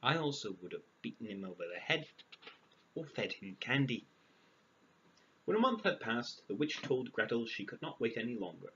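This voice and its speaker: A man reading a fairy tale aloud, breaking in with dry, sarcastic deadpan asides. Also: medium pitch, moderate pace. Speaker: storyteller. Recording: dull lo-fi audio, clear background noise.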